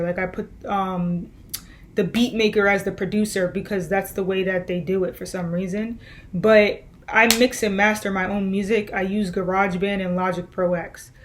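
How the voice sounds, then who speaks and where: A young woman musician answering viewer questions in a loose, rambling way, with many fillers and restarts. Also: medium pitch. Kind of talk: casual Q&A vlog.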